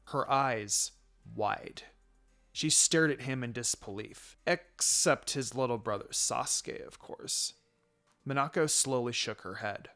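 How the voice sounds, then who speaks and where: Casting: male storyteller reading fan fiction prose, medium-pitched, with background music. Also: slow, sharp tone. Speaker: narrator.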